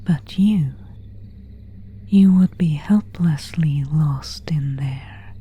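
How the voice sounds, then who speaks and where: Woman playing a slow, whispering vampire seductress. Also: deep voice, dark tone, exaggerated voice. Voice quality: breathy, unvoiced whisper. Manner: slow, formal, old-fashioned phrasing. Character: seductive, predatory female vampire, courteous and menacing. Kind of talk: whispered ASMR roleplay.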